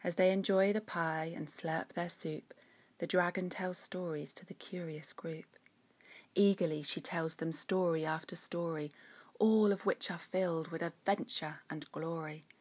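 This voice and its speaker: Woman narrating a rhyming kids story, slow n gentle, lowish husky voice, expressive. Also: no echo. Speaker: author reading her own story.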